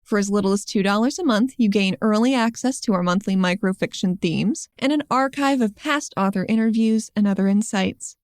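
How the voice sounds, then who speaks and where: A woman's voice: mid-range voice, a little fast, few pauses, no echo. A woman announcing a magazine podcast in a scripted introduction style. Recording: dry studio with faint music behind.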